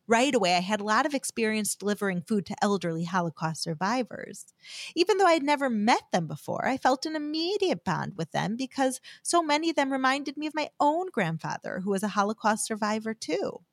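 Lively earnest American woman. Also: a little high, no room sound, studio quality. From United States. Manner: earnest, heartfelt storytelling. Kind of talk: scripted devotional talk with personal story.

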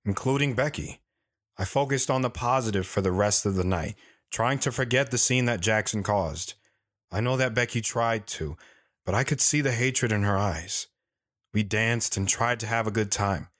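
A man's voice: on the low side, normal speed, fairly bright tone, close to an everyday voice. A man playing an earnest, reflective drifter who tells his life story plainly and sincerely in an audio drama.

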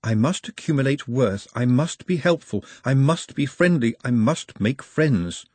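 A man's voice: medium pitch, expressive, measured pace, darkish tone, slightly rough, no echo, pitched above the performer's own voice. A man voicing a meek, earnest orc in a storybook, speaking precisely and formally with a mournful air.

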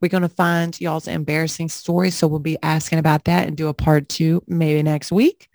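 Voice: medium pitch, flat delivery, normal speed, darkish tone, dry recording, clean studio sound. A young adult podcast co-host telling stories in casual, profane chat, laughing often. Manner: profane, laughing, slangy storytelling.

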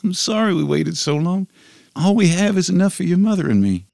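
An actor playing a desperate, apologetic farmer and father, with an American accent and a halting, pleading delivery. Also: high-pitched, very animated, vocal fry, darkish tone, no room sound, clean studio sound, full character voice. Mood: pleading, regretful. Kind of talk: dramatic film dialogue reading.

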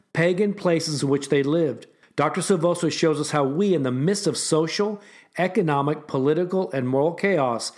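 A man hosting a ministry podcast, giving an earnest, measured, prepared introduction and listing his points in series. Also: a little high. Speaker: podcast host.

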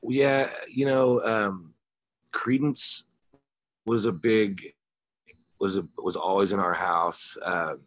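A man's voice: lowish voice, fairly even intonation, slow, pauses often, fairly bright tone. An American man from the Ozarks in Missouri, a guest telling stories casually in an interview in a rambling, anecdotal way. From small town in the Ozarks, Missouri, USA. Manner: rambling, anecdotal, peppered with "you know". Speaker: guest, rock guitarist and singer.

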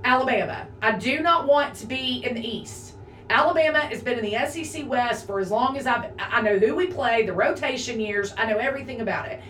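A woman's voice: a little high, expressive, brisk, fairly bright tone. A woman in her forties talking sports in casual chat, opinionated and emphatic, going on at length. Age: 40s. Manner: opinionated, emphatic, talks in long runs. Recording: roomy echo, a bit far from the mic, faint noise.

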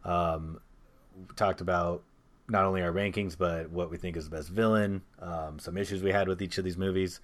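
A man with a deep, low voice hosts a relaxed podcast chat about movies. He is opinionated and jokey, and he keeps the conversation moving along. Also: normal speed. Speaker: podcast host. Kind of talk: casual movie discussion podcast.

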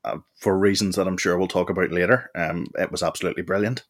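A man with a notably low voice talks casually as a podcast co-host. He speaks with emphasis, often doubling up words to stress a point. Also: steady tone, fast. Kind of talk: casual chat.

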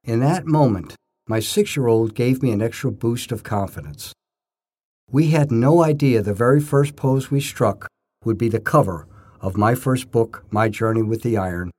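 An older man narrating his own scripted first-person stories in short, measured phrases with a storyteller's emphasis. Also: medium pitch, darkish tone.

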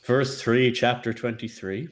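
Wry man hosting, mid-pitched voice. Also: steady tone, measured pace. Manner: playful, wry. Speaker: podcast host. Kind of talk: casual banter.

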